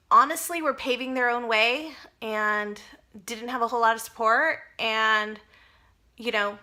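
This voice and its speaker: Chatty bright-voiced woman in her forties. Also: on the high side. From United States. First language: Spanish. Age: 40s. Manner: chatty, rambling, self-deprecating with frequent fillers. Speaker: vlogger. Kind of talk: casual personal vlog talk.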